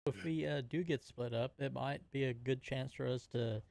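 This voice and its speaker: A man's voice: mid-range voice, normal speed, vocal fry, darkish tone, no room sound. A man playing a tabletop role-playing game, talking casually in character at the table.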